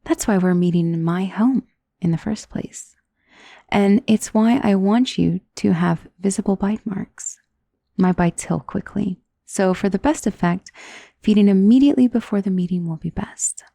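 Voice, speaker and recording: Casting: woman to play elegant female vampire for ASMR roleplay. Mellow, breathy, coaxing and possessive, often whispering close to the mic. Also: mid-range voice, moderate pace, no echo. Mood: calm, scheming. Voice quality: breathy, soft. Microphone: close studio mic.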